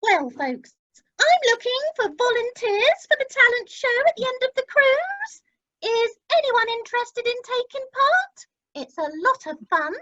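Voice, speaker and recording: A woman's voice: very high-pitched, big pitch swings, measured pace, pushed and bright, exaggerated voice. A woman playing a bubbly, gushing cruise ship entertainer in an amateur radio play, recorded over a video call. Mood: brisk, cheery. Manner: gushing, cheery announcer patter. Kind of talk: amateur radio drama acting. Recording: dull lo-fi video call audio.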